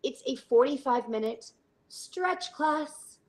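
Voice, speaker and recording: A middle-aged woman speaking casually as an interview guest about her work, chatty and halting, often trailing into tangents. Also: sits high, animated. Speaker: fitness studio owner, interview guest.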